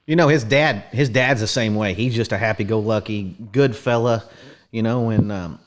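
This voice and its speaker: A man's voice: lowish voice, moderate pace, background noise. A man co-hosting a casual podcast, chatty and folksy. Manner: chatty and folksy, chuckling and saying "boy, howdy". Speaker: podcast co-host.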